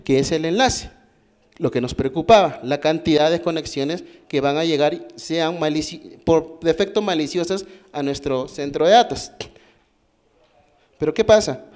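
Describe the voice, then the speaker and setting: A man's voice: sits high, a little mellow. A man with a Peruvian accent giving a technical talk at a conference, explaining step by step, asking the audience questions and often restarting his sentences. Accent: Peruvian Spanish. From Peru. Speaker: conference speaker. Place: conference hall.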